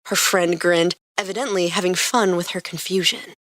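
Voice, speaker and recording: A woman's voice: on the low side, moderate pace, fairly bright tone, no echo. A woman reading scripted fiction aloud as the narrator of a story.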